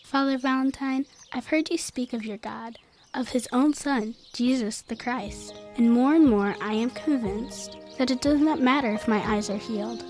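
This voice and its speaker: A female performer playing a gentle, devout young girl in an audio drama, speaking politely and carefully in old-fashioned phrases. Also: on the high side, normal speed, faint music behind, close to an everyday voice. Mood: gentle, sincere.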